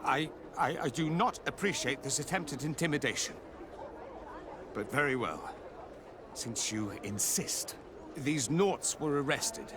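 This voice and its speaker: A man's voice: mid-range voice, a little slow, crowd noise, noisy background, natural voice. A man voicing a pious, evasive inquisitor in a video game, haughty and indignant in a formal manner. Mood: grudging.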